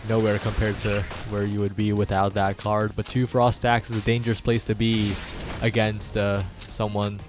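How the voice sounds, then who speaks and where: A man's voice: fairly low pitch, level tone, moderate pace, music in the background. A man giving live play-by-play esports commentary, following the action in long running sentences.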